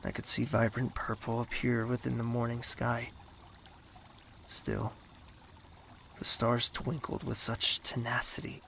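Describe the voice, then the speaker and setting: An actor playing a melancholic, lovelorn insomniac in a fantasy audio drama, narrating pensively in a hushed, slightly husky voice. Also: male voice, medium pitch, completely flat delivery, measured pace, long pauses, slight background noise. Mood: pensive, wistful. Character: melancholic lovelorn insomniac man of a royal castle.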